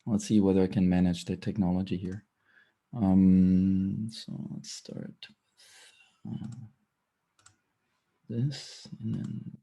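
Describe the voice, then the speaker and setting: A man with a slightly raspy voice giving a tech talk over a video call, hesitant with many fillers and restarts and frequent throat clearing. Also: low voice, little pitch movement, slow, long pauses, dark tone. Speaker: guest speaker.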